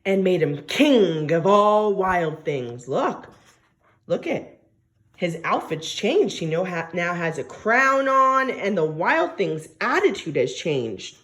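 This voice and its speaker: A woman teacher reading a story aloud to young children, expressive and coaxing, often asking questions and stressing key words. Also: lowish voice, takes it slowly. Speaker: primary school teacher.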